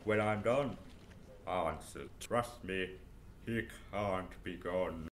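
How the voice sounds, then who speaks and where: A man's voice: deep voice, slow, a little hiss or hum. A man playing an arrogant, coolly analytical male detective in an amateur audio drama, speaking in a superior, matter-of-fact way.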